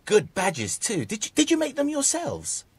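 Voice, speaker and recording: Casting: shifty British gent for children's audio play, over-polite, exclaiming, hugely animated pitch, slightly husky. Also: male voice, moderate pace, no room sound, exaggerated voice. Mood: affably curious. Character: shifty man posing as a friendly bird lover. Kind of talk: children's audio dramatisation acting.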